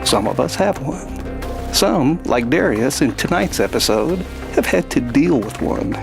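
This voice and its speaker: Male storyteller-host with plenty of fry in a mid-range voice, reading scripted fiction over light music. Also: medium pitch, expressive, unhurried. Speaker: host and narrator.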